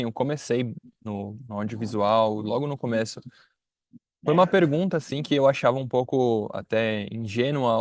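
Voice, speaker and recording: A man's voice: mid-range voice, a little mellow, dry recording, studio quality. A young man with a Brazilian accent giving informal interview answers, hesitant and often rephrasing himself. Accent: Brazilian Portuguese. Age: young adult. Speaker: student filmmaker interviewee.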